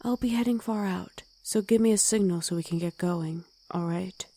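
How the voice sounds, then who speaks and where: A woman voicing a gruff, protective wolf girl who talks bluntly in an ASMR roleplay drama. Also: lowish voice, normal speed, warm tone. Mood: gruffly caring. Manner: blunt, commanding, gruffly teasing.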